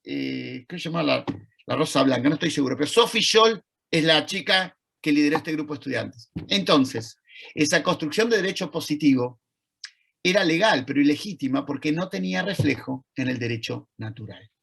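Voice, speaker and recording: A man with an Argentine accent giving an online talk, conversational and thoughtful, pausing to search for words. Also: a little high, expressive, creaky, a bit bright, slightly rough. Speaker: lecturer. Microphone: video call.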